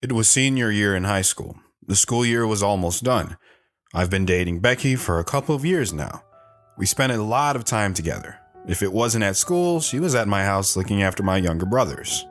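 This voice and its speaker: A man's voice: on the low side, expressive, normal speed, faint music behind, lightly acted. An actor playing an older, reflective, world-weary man telling his life story in an audio drama.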